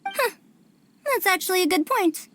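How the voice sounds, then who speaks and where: A woman voicing a self-important, excitable game scholar, over a hundred years old yet youthful, with haughty theatrical delivery. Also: very high-pitched, normal speed, dry recording, exaggerated voice. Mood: pensive, suspicious. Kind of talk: video game cutscene acting. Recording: clear music behind voice.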